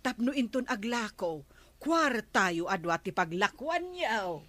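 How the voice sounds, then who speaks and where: An actress playing an inquisitive, advising friend in an Ilocano radio comedy drama, speaking with an Ilocano accent. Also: female voice, medium pitch, sing-song, takes it slowly, a bit bright, dry recording, full character voice. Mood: curious, advising. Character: wife's female confidante, inquisitive and advising.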